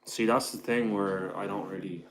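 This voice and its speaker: A man's voice: lowish voice, steady tone, moderate pace, fairly bright tone, slight background noise. A man hosting a casual livestream who answers his chat in a rambling way, calls viewers "bro", and keeps his voice down indoors at home. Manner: keeps his voice down so he won't disturb the landlord. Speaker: livestream host reading chat comments.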